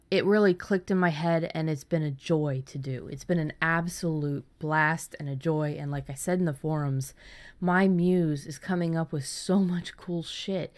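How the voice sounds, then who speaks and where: Low-pitched woman chatting candidly on a podcast. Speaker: podcast host and fiction writer. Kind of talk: casual podcast chat.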